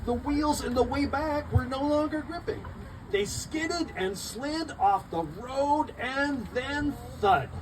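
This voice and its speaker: A man reading his picture book aloud at a live event, expressive and rhythmic in the rhymes, with informal asides to listeners. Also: extremely high pitch, slow. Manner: expressive, rhythmic storytelling of rhyming verse. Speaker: children's book author reading his own book. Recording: distant mic, noisy live room.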